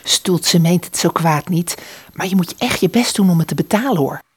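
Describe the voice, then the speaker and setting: A bashful, motherly middle-aged shop woman in a radio drama. Her voice is lowish and breathy, soft and soothing. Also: animated, normal speed. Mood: reassuring. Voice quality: breathy, half-whispered. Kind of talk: radio play acting.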